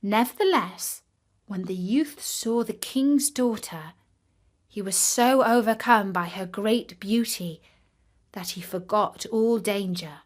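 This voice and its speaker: A woman narrating a scripted fairy tale for children in a careful, expressive manner. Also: medium pitch, slow. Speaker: storyteller.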